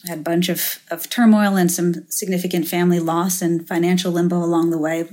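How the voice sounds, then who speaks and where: Thoughtful, halting woman guest. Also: lowish voice, little pitch movement, a little mellow. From Finland. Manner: thoughtful, halting, with many fillers and restarts. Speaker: guest, venture capitalist. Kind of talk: interview conversation.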